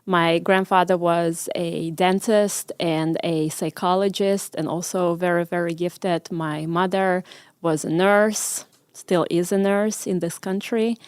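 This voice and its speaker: Russian-accented woman, smooth clear voice. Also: fairly low pitch, fairly even intonation, slow pace, dry recording. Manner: hesitant, many ums, storytelling. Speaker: guest, medical researcher. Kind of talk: conversational interview.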